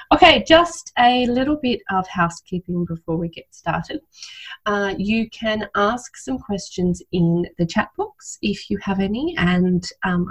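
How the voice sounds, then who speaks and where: A woman's voice: lowish voice, breathy edge, low-quality recording. A woman presenting informally to listeners as a parenting coach and host.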